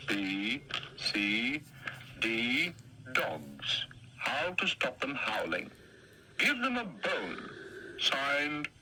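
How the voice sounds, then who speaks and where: A man's voice: lowish voice, bright, forceful tone, slight background noise, full character voice, low-quality recording. A man voicing a fussy, anxious lion who grumbles and frets in a storybook reading. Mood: weary. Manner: fretful, grumbling. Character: fussy anxious male lion, easily flustered.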